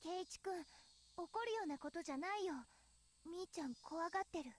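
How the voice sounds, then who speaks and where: A woman playing a gentle, concerned young schoolgirl in a Japanese anime visual novel. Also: very high voice, quick pace. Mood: pleading concern.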